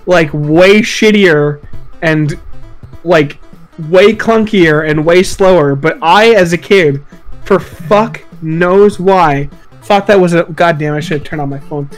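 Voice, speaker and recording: A man hosting a casual podcast chat, swearing freely and mocking himself in a halting delivery. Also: on the high side. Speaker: podcast host. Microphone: voice call microphone. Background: music.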